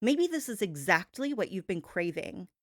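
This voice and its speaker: A woman's voice: mid-range voice, very animated, fairly bright tone, dry recording, clean studio sound. A woman delivering a performed gossip-show monologue as a host, sassy and theatrical, leaning on words for dramatic emphasis. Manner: sassy, theatrical, drawn-out emphasis, addresses listener as babe.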